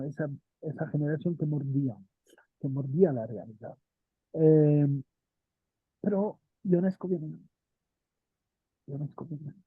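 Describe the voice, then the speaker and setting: A man's voice: fairly high pitch, slightly rough, no room sound, narrow, tinny audio. A man teaching theater history to a small class, thinking aloud and checking in with his students. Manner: thinks aloud, asks students questions, frequent "¿no?". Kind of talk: lecture and class discussion.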